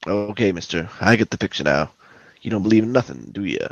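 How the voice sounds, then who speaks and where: A man playing a stern, clipped, deadpan police detective in a parody of a 1950s radio drama. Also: deep voice, a little fast, creaky, no room sound, dull audio, full character voice.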